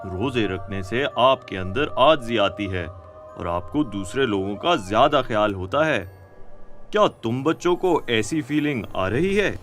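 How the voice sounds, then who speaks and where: A kindly man teaching children in an educational cartoon. His delivery is very animated and explanatory, with an encouraging manner, and music plays clearly behind him. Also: medium pitch, a little slow.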